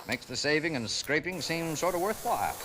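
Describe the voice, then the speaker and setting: An actor playing a genial but fretful middle-aged diner owner in old radio drama style, chatty and folksy, hesitant when flustered. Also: male voice, fairly high pitch, expressive, fairly quick, close to an everyday voice. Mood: genial, proud. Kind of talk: 1950s radio drama acting. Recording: hissy old radio recording.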